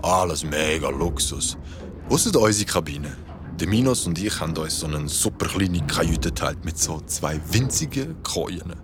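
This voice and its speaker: An actor playing a naive, eager young Swiss sailor in a radio play, casual and easily flustered. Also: male voice, deep voice, animated, moderate pace, very noisy. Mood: impressed.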